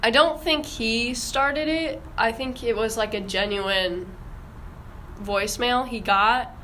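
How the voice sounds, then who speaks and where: A woman's voice: a little high, slow, pushed and bright, a little hiss or hum. A young woman hosting a casual podcast discussion in an informal, hesitant way. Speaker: podcast host.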